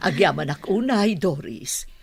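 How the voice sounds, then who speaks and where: Animated, gracious mansion lady. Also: fairly low pitch, a little slow, vocal fry. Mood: delighted. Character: gracious wealthy mistress of a mansion, motherly. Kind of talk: radio drama acting.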